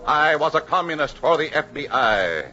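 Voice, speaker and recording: A man's voice: a little high, expressive, normal speed. A man announcing a 1950s radio drama in a formal, emphatic style. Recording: muffled 1950s radio recording. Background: loud brass music.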